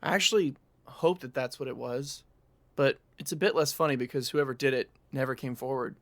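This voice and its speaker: A man playing a haunted young man in an audio drama, telling his story in a measured, grave way. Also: mid-range voice, fairly bright tone, no room sound, close to an everyday voice. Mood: uneasy, reflective. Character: haunted young man, Aaron, recounting a childhood fright.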